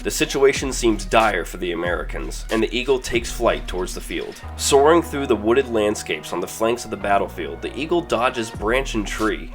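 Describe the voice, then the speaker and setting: A man narrating a scripted analytical commentary in a formal, explanatory manner. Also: fairly low pitch. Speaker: video essay narrator.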